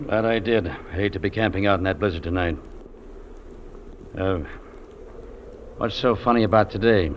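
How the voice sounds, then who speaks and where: An actor playing a stern, unyielding Mountie sergeant, speaking curtly in 1950s radio drama style. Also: male voice, sits low, moderate pace, long pauses, close to an everyday voice. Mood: curt, businesslike. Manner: curt, stern, blunt. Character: hard, unyielding Mountie sergeant, adult man. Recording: dull, noisy old radio recording.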